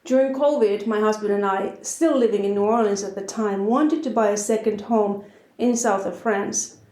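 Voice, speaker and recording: A woman's voice: mid-range voice, normal speed, dark tone. A woman with a Finnish accent hosting a podcast, introducing the episode and chatting with a guest in her home. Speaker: podcast host. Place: her house. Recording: roomy echo.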